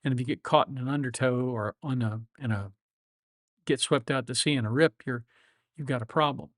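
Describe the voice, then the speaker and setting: A man's voice: medium pitch, fairly quick, no room sound, clean studio sound. A man of seventy, a lawyer hosting a livestream, chatting casually with viewers in a reflective, rambling way with many fillers and restarts. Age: 70s.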